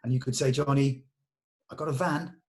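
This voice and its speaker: A man talking informally and deliberately as he leads a creative discussion of a script, explaining and suggesting lines of dialogue. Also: medium pitch, fairly even intonation, a little mellow. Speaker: script discussion leader at a table read.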